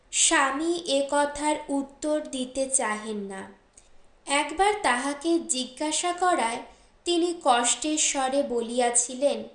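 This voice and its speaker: Slow, very high-pitched woman narrator. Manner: measured, expressive storytelling. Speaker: audiobook narrator. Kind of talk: scripted literary short-story narration. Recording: roomy echo.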